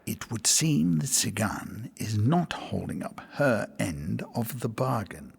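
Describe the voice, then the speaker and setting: A man's voice: on the low side, lively intonation, takes it slowly, vocal fry, husky, exaggerated voice. An actor playing a shrewd, deferential royal court advisor in an audio drama, formal and wry in his delivery.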